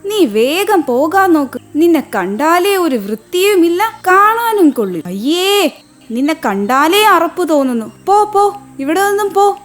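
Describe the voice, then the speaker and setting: A woman's voice: extremely high pitch, sing-song, unhurried, soft background music, exaggerated voice. A woman voicing a vain, scornful female fish in a children's cartoon, haughty and mocking. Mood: disgusted. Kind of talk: children's cartoon story voice acting.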